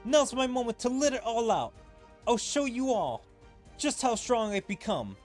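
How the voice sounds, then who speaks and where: A man voicing earnest, excitable young anime game characters in an emphatic, dramatic amateur read-aloud. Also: very high-pitched, normal speed, soft background music, voice pushed up. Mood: intense.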